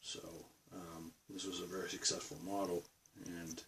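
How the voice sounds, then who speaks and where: A man's voice: low voice, flat delivery, moderate pace, recorded from a distance, background noise. A man with a Boston accent rambling casually to his camera from his bedroom studio. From Massachusetts, USA. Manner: rambling, meandering talk. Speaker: vlogger.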